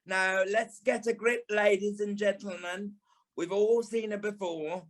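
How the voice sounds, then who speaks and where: An actor playing a camp, sharp-tongued British dance teacher and former stage dancer in an audio play, theatrical and sarcastic. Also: male voice, sits high, steady tone, unhurried. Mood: sarcastic, scolding. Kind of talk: audio play monologue and dialogue.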